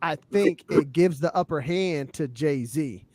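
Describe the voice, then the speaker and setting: A man on a podcast panel talking casually about hip hop, explaining his points in a measured way and often checking that the listener follows. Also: fairly high pitch, warm tone, no echo.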